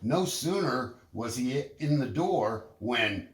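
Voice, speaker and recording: A man narrating a children's story in an expressive, deliberate storytelling manner. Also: medium pitch, reverberant. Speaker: storybook reader.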